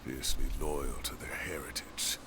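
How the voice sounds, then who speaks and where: An actor playing a stubborn, proud old scholar in a video game, weary and wistful, with a worn, breathy voice. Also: male voice, rumbling low, steady tone, normal speed, noisy background. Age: older.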